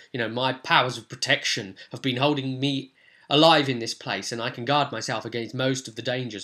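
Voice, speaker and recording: A man's voice: mid-range voice, sharp tone, natural voice. A man voicing a besieged wizard who bargains for help in a conversational, explanatory, earnest way for a fantasy tabletop game.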